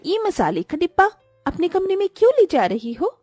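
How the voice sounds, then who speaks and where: A chirpy young sister-in-law in a cartoon story, played by a woman. Her voice is extremely high and swings expressively, recorded dry with faint music behind. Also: sing-song, normal speed, a little mellow, no room sound. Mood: suspicious.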